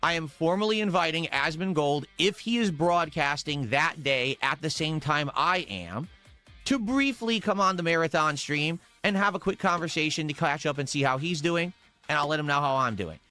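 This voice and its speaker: A middle-aged man, a game streamer, making a formal, deliberately worded announcement to his viewers. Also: a little high, sharp tone, soft background music.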